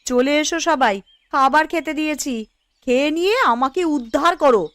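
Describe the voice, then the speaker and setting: Woman voicing a very high-pitched, outspoken young housewife. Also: normal speed, a bit bright, no echo. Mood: exasperated. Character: outspoken young village housewife, busy and exasperated. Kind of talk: animated cartoon story dialogue.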